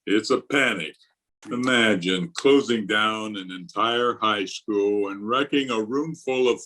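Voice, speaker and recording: A man acts out an older high school principal in a radio comedy. He is blustery and exasperated, with a forceful, sharp-edged voice and measured pace. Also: rough recording. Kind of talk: old-time radio comedy acting. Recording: remote video-call recording.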